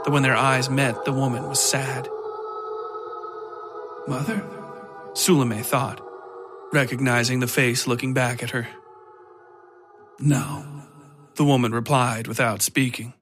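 A man reading scripted horror fiction as a narrator, deliberate and dramatic, in short measured phrases. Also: medium pitch. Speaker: narrator and producer. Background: loud music.

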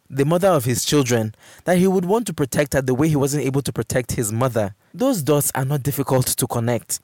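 A man's voice: medium pitch, a little mellow, dry recording, studio quality. A man hosting a solo podcast, speaking conversationally and emphatically, repeating words for stress. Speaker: podcast host. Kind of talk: solo podcast monologue, conversational relationship advice and recommendations. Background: music.

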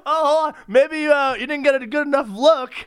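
A man's voice: extremely high pitch, normal speed. A man co-hosting a comedy podcast, casual and jokey, often close to laughing as he talks. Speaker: co-host.

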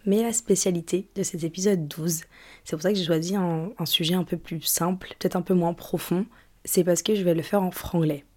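A woman's voice: on the low side, fairly even intonation, brisk. A young woman from England hosting her own podcast, chatting casually and rambling with lots of fillers and restarts. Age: young adult. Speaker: podcast host. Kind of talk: casual solo podcast chat.

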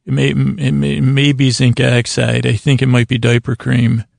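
American male host, mid-pitched and gravelly, halting comedic monologue that keeps wandering, very dry sound. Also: completely flat delivery, no echo. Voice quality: creaky. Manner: meandering, halting, trails off mid-thought. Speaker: podcast host. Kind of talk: rambling comedic bedtime monologue.

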